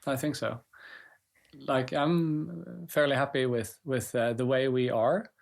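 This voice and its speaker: A man, a startup co-founder, talking in a thoughtful, measured way with frequent pauses and fillers during an interview. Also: medium pitch, steady tone, vocal fry. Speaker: startup co-founder and CTO guest. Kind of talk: reflective conversational interview.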